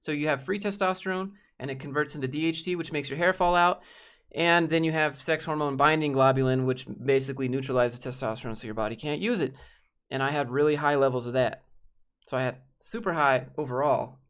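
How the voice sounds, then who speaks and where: A man's voice: fairly high pitch, fairly even intonation, brisk, fairly bright tone, clear, resonant tone. Rambling casual man answering viewer questions. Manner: rambling and candid, many fillers and restarts. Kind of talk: casual livestream Q&A chat.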